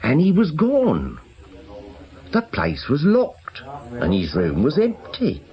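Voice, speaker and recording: A British actor playing a chatty caretaker in a radio drama, rambling and confiding in a naturalistic way. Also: male voice, on the high side, huge pitch swings, slow pace, muffled recording, full character voice. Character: gossipy working man, chatty clinic caretaker.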